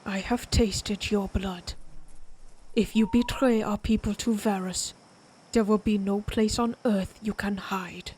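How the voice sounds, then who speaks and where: Slow, menacing vampire warrior woman, voiced by an actress. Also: medium pitch, steady tone, darkish tone, husky, rough recording. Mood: solemn warning. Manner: deliberate, taunting, imperious. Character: menacing, proud Germanic vampire warrior woman, mocking and wary. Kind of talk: dramatic audio roleplay.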